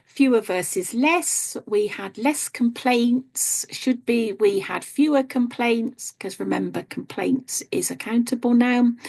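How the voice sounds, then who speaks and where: A woman's voice: a little high, creaky, a little mellow. A British woman teaching an online business English grammar lesson, explaining each point step by step. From Britain. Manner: explains step by step, often starting with "so".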